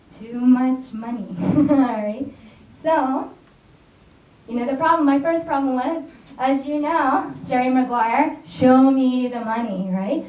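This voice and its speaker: A woman's voice: fairly high pitch, warm tone. An American woman, a secondary school teacher, gives a chatty conference talk and keeps checking in with her listeners with "all right?" and "right?". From United States. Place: conference hall. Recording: echoey, dull audio captured from far off in a hall.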